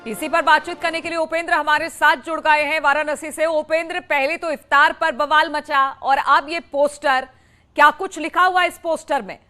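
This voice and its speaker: A woman anchoring a television news bulletin in a high-pitched voice. She reads formally and emphatically, repeating the headline points. A clear music bed plays under her voice. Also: steady tone, moderate pace, bright, forceful tone. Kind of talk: broadcast news reading.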